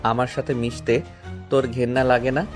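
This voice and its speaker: A man's voice: medium pitch, level tone, normal speed, close to an everyday voice. Guy acting a humble young servant in an audio drama, puts himself down, music behind him. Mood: dejected, self-deprecating. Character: humble, self-deprecating young servant man, gentle and respectful.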